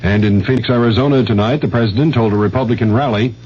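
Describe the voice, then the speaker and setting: An American man anchoring a scripted 1970s radio newscast in a formal, measured announcer's manner. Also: medium pitch, a little mellow. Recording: muffled 1970s radio, hiss and hum.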